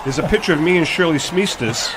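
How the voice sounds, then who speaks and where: A man's voice: on the high side, lively intonation, fast talker. A man ad-libbing comic live commentary as co-host of a radio parade broadcast, outdoors. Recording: outdoor broadcast, loud street noise.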